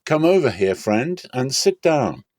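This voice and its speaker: A man acts out an authoritative landowner of biblical times, earnest and formal. He declaims emphatically, pitched up as if addressing elders, in a dry storybook recording. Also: big pitch swings, a little slow, a little mellow, no room sound. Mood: hailing.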